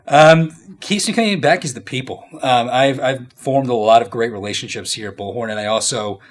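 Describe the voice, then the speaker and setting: Casual man in his thirties, halting delivery. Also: mid-range voice. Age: 30s. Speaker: interview guest, company executive. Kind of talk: casual workplace interview answers.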